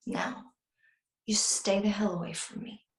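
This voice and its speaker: A woman's voice: mid-range voice, steady tone, unhurried, natural voice. A woman playing a cold, enraged mother disowning her son, bitter and menacing, at a script table read. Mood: cold rage. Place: remote video call rehearsal. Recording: roomy, distant video call microphone.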